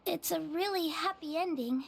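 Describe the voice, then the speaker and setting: Game role: polite, timid little daughter, childlike and very high in pitch, hesitant delivery. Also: female voice, moderate pace, creaky. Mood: earnest. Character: shy, polite little girl devoted to her mother. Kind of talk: video game character acting.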